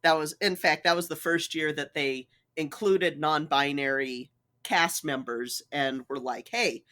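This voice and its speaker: A person in their thirties co-hosts a casual podcast. The voice is bright and mid-pitched. The delivery takes its time and lands agreement with emphasis. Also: unhurried. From Utah, United States. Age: 30s. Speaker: podcast co-host.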